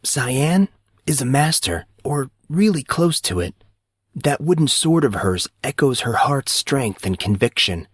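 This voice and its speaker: A man's voice: mid-range voice, sing-song, unhurried, husky, no echo, studio quality, pitched above the performer's own voice. A man playing an earnest fourteen-year-old boy who explains things solemnly and with conviction in an audiobook.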